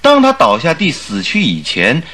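An actor playing a stubborn, skeptical doctor who is a detective's companion, arguing theatrically and insistently in a Chinese radio drama. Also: male voice, fairly high pitch, huge pitch swings, moderate pace, pushed and bright, exaggerated voice. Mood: stubborn, protesting.

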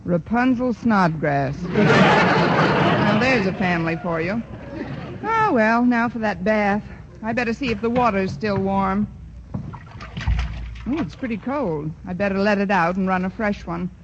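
An actress playing a dry, sardonic but kindly schoolteacher in a 1940s radio sitcom. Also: female voice, mid-range voice, close to an everyday voice. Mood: wry. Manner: sardonic, quick with quips. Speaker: teacher. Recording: lo-fi hissy old radio broadcast.

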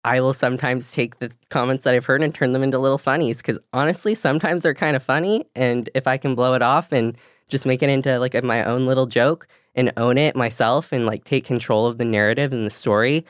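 A young woman with a low voice and a slight lisp, co-hosting a podcast in a candid, self-deprecating way and laughing often. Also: a little fast, dry recording, studio quality. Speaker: podcast co-host. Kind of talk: casual podcast chat.

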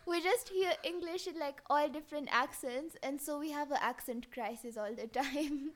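A young woman with a high voice and a mixed, Kenyan-influenced accent chats as a podcast co-host. She talks loosely and giggles often, with "like" in nearly every sentence. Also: moderate pace, slight background noise. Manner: giggly, chatty, fillers like "like". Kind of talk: casual chat.

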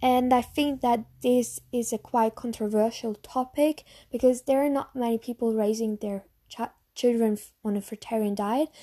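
A woman's voice: a little high, dark tone. A young woman from Germany with a German accent, talking frankly and earnestly to camera as a vlogger, with frequent fillers. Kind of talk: casual talk to camera answering questions.

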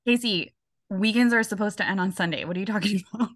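A woman's voice: mid-range voice, fast, dry recording, studio quality. A young woman co-hosting a casual podcast chat, talking loosely with lots of fillers and laughs. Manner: chatty, lots of likes and laughs. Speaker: podcast co-host.

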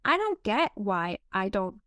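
A woman's voice: medium pitch, very animated, unhurried, bright, forceful tone, dry recording, clean studio sound. A woman in her fifties with a Northern English accent tinged with North American, chatting casually and telling stories in a blunt, jokey, sweary way as a podcast guest. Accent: Northern English with some North American influence. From northeast of England. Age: 50s. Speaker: podcast guest, fitness expert and author. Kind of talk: casual chat, telling anecdotes.

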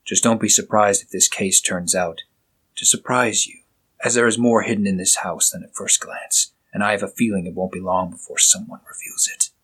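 A man voicing a reserved doctor in his own audio story, speaking in a breathy half-whisper, low and husky. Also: fairly even intonation, normal speed. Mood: guarded. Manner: hushed, breathy whispering, quiet and guarded. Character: reserved, secretive doctor detective, adult man.

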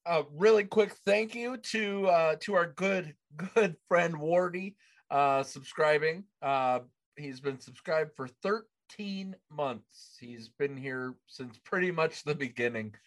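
Slow-talking, higher-voiced man hosting a podcast. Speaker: podcast host. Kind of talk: casual gaming podcast chat.

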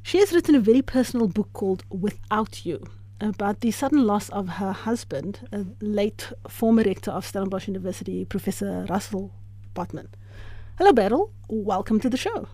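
A woman hosting a radio interview, asking measured, friendly questions. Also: medium pitch, lively intonation, dark tone, dry recording. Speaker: radio host.

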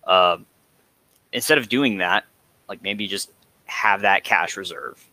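A man speaks in a lowish voice with a very bright, sharp edge. His delivery is casual and a little halting as he explains. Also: rough recording. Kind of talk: casual discussion.

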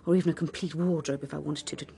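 A woman playing an anxious suburban mother in a radio drama, with a British accent and halting, repetitive, urgent speech. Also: low voice, steady tone, mellow. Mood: weary, discontented. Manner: halting, breathy, repeats words urgently. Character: anxious suburban mother of twins, increasingly panicked.

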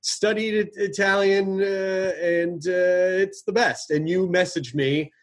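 A man hosting a podcast in casual chat, talking with many fillers and self-corrections, and slipping into halting learner Italian. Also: high voice, steady tone. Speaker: podcast host.

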